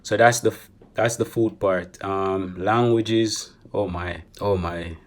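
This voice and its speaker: A young Jamaican man talking casually to camera as a travel vlogger, chatty and animated, slipping Creole phrases into his English. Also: sits low, slow pace. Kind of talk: casual vlog monologue.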